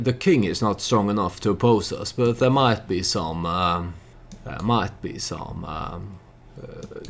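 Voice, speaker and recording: A man hosts a storytelling let's play in a very low voice with plenty of vocal fry. He thinks aloud in a halting, hesitant way as he comments on the game. A faint layer of noise sits behind him.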